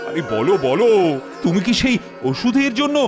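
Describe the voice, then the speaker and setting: A man voicing an excitable, imperious Indian king in an animated comedy, with theatrical, exclamatory delivery. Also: high-pitched, normal speed. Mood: impatient. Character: flustered, excitable Indian king holding court. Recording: loud background music.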